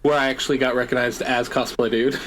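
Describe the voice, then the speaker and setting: A man who is a guest on a casual podcast, telling stories lively, laughing often and acting out what other people said. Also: mid-range voice, fast, a loud crowd. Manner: lively storyteller, laughs often, acts out quoted voices. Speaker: podcast guest, story writer and cosplayer. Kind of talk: casual chat.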